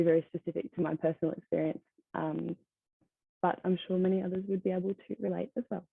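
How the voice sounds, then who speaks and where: Teenage woman, soft-spoken with ums, lowish voice, tinny phone-like line. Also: no echo. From Australia. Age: teens. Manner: soft-spoken, many ums, laughs lightly. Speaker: conference speaker. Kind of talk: presentation talk over a video call. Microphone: video call.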